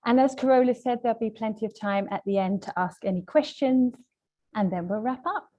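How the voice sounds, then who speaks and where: Mellow-voiced woman presenting a webinar. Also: fairly high pitch, a little fast. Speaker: webinar presenter, language trainer. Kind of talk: webinar lesson, semi-scripted presentation.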